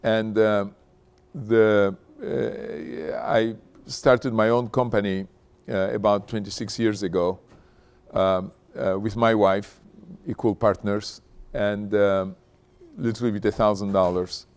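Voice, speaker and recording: Middle-aged male guest speaker, lowish voice, conversational and halting delivery. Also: fairly even intonation, a little mellow, smooth. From Istanbul, Turkey. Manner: conversational, halting, repeats "you know". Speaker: company founder, guest speaker. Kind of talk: informal autobiographical talk to an audience.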